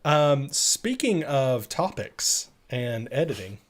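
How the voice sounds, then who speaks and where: A man with an average-pitched voice co-hosts a live tech show. He talks in a casual, conversational style, addressing his audience directly as he explains updates. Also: sing-song, slow.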